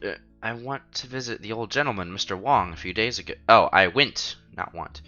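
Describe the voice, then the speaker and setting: A man reads a visual novel aloud for a stream, mixing narration with casual asides. His voice is bright and forceful, and his delivery is playful. The sound is a little dull and lo-fi, with music playing behind him. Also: on the low side, brisk. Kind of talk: reading story text aloud with casual commentary.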